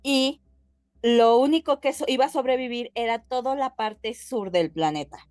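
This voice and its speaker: A woman with a Mexican Spanish accent co-hosting a podcast, explaining things casually and haltingly as she addresses her listeners. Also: on the high side, darkish tone, no room sound. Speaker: podcast co-host.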